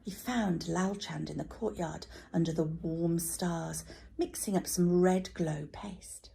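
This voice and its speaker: A woman's voice: lowish voice, fairly even intonation, slow pace, gravelly, mellow. A woman teacher reading a children's storybook aloud as narrator.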